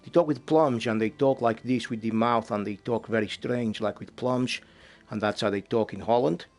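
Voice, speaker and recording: A man's voice: medium pitch, normal speed, a little mellow, lightly acted. A man putting on a mock Dutchman's drawl. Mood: playful. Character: mock Dutchman, rambling, with a thick caricature drawl.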